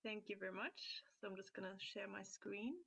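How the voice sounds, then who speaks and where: A woman's voice: mid-range voice, level tone, vocal fry, a bit bright, breathy edge. Earnest young woman presenting online. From Austria. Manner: earnest, explanatory, pauses to find words. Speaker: youth climate activist presenting. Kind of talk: webinar presentation with slides. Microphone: computer mic on a video call.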